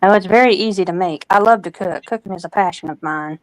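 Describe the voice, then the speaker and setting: A woman's voice: medium pitch, fast, no room sound, poor audio. A woman chatting casually as a guest on a live streaming panel. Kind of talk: casual chat.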